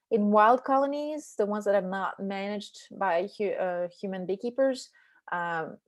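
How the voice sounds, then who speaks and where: Middle-aged French woman, accented English, easygoing presenter over a computer mic. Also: medium pitch. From France. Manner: relaxed, many ums and uhs. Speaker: beekeeping presenter. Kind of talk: online slide presentation.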